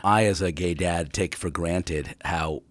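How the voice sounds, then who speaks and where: Deep-voiced man in a dry room. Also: dry recording. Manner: halting, many uh fillers. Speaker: guest actor. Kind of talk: casual interview chat.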